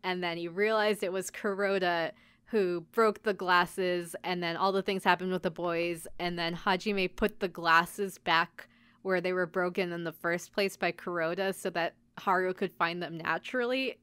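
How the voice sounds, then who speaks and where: A woman co-hosting a podcast, casually retelling a story in an animated way with mock dialogue and giggles. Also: on the low side, normal speed, smooth, dry recording. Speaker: podcast host and episode recapper. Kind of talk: casual chat, recapping an anime episode.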